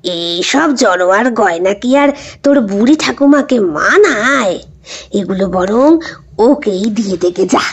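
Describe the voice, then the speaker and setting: A fond, humble old grandmother from a village tale, voiced by a woman storyteller. Her rustic speech is drawn out and lower-set, heard on a dull recording. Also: expressive, slow, husky, heavily acted. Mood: fond, admiring.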